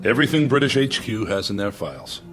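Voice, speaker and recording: A low-pitched, earnest army major in a radio drama. He is measured and deferential, yet curious and a little unsure. Music plays clearly under his voice. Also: sing-song. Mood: earnest, respectful.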